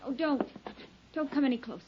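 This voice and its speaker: A woman's voice: high-pitched, brisk, natural voice. An actress playing a frightened, tearful young woman in an old radio drama. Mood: pleading. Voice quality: tearful, pleading. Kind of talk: 1940s radio acting. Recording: lo-fi old radio recording, faint noise.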